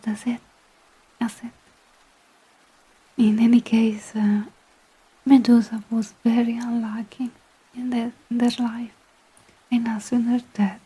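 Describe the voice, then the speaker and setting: Soft whispering Italian woman. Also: a little high, flat delivery, a little slow, pauses often, breathy edge, poor audio. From Italy. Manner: whispery, hesitant, many fillers. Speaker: vtuber ASMR streamer. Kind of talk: soft-spoken ASMR storytelling and chat.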